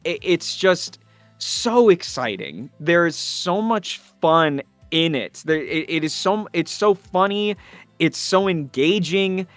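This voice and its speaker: A man's voice: high-pitched, a bit bright, no echo. A man talking enthusiastically in an interview as a voice actor, effusive and emphatic.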